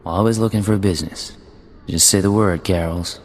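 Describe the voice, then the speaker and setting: Deep, cocky rogue agent. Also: male voice, fairly even intonation, normal speed, darkish tone. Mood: confident. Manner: confident, dry. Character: cocky, smooth-talking male undercover agent posing as pirate. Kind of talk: video game dialogue acting. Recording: reverberant hall with music behind.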